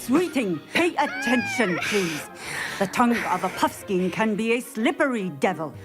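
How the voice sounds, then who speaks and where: A woman voicing a brisk, stern teacher of magical creatures in a game cutscene. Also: a little high, wildly swooping pitch, music in the background. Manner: brisk, instructive.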